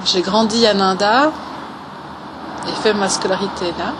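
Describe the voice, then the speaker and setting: A woman's voice: mid-range voice, steady tone, measured pace, recorded from a distance, noisy background. A woman, giving a personal testimony in a hesitant way, with many fillers and phrases that trail off. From Valais, Switzerland. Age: 20s. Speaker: interviewee.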